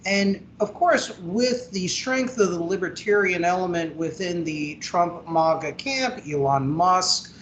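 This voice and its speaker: A man's voice: high-pitched, takes it slowly, few pauses, fairly bright tone, low-quality recording. Man asking questions over faint noise. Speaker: host. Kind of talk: interview questions.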